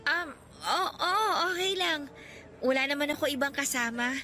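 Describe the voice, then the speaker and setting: An actress playing a shy, self-doubting young woman contract worker in a radio drama, speaking softly and hesitantly. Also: high voice, normal speed, faint music behind. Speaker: Karen.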